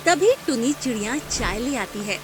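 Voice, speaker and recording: A woman narrating a children's cartoon story in a clear, storytelling cadence. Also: fairly high pitch, lively intonation, moderate pace, background noise.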